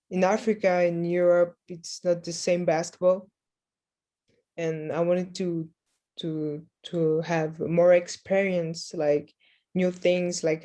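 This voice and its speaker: A woman's voice: lowish voice, mellow. A teenage girl from Switzerland who plays basketball, answering interview questions in a hesitant way and repeating words while she searches for how to say things. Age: teens. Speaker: basketball player guest.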